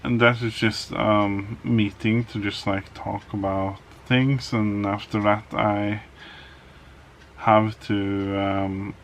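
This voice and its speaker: A man with a Norwegian accent, a native Norwegian speaker, talking hesitantly in a casual vlog with many pauses and restarts. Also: fairly low pitch, flat delivery, slight background noise. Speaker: vlogger.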